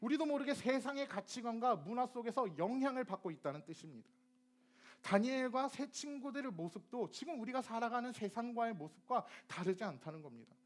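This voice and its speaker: A man's voice: very high voice, fairly quick. A young man from Gyeonggi preaching a sermon to teenagers, asking them questions and acting out dialect phrases. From Gyeonggi, South Korea. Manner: lively, asks the audience questions and acts out dialect phrases. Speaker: youth ministry preacher.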